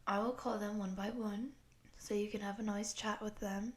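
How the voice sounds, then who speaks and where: A woman playing a courteous exchange program coordinator, speaking to the listener in an orderly, measured way in an audio roleplay. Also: medium pitch, little pitch movement, close to an everyday voice. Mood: soft, businesslike.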